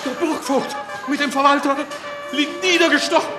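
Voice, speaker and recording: An actor playing a frightened nobleman in a radio play, speaking in a hurried, stammering way. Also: very high-pitched, steady tone. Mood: alarmed. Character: frightened young nobleman, breathless bearer of bad news. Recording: reverberant hall, loud music behind.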